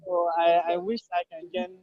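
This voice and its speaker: High-voiced Nigerian man, noisy call. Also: monotone, normal speed. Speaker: workshop participant. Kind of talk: earnest self-introduction. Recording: noisy, dull call with clicks.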